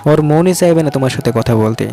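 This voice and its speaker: A man's voice: a little high, expressive, brisk, warm tone. Man giving advice over music. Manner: direct, instructive, addresses the listener with rhetorical questions. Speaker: relationship advice video narrator. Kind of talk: scripted motivational advice narration.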